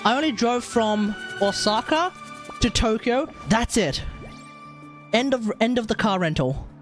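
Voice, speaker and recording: A man chatting casually and excitably on a gaming livestream, rambling and correcting himself as he goes. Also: very high voice, unhurried, soft background music. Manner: animated, rambling, exclamatory, self-correcting. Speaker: live streamer.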